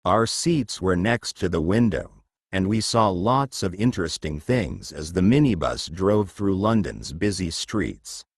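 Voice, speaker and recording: A man reading a children's textbook story as scripted narration, in a measured, clear manner meant for learners. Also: sits low, sing-song, no room sound, studio quality.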